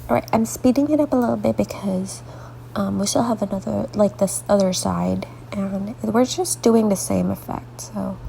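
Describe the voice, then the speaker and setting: A woman artist talking through a coloring tutorial with a congested, stuffy voice, rambling softly and often dropping into half-whispered asides, sleepy late at night. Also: medium pitch, moderate pace, creaky, a little hiss or hum, low-quality recording. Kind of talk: casual coloring tutorial narration.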